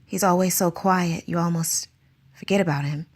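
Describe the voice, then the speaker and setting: A woman's voice: on the low side, fairly even intonation, normal speed, a little mellow. A woman playing an anxious, earnest circus teacher in a radio drama, asking question after question.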